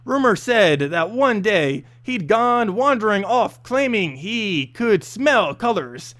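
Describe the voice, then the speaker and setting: A man's voice: high-pitched, very animated, slow, fairly bright tone. A man reading a scripted fantasy story as narrator, expressively, with an occasional stumble over the text.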